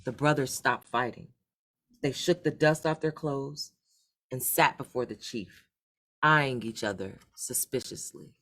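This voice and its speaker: A woman narrating a children's folktale, reading aloud in an expressive, dramatic way. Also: very deep voice, measured pace. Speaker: storyteller.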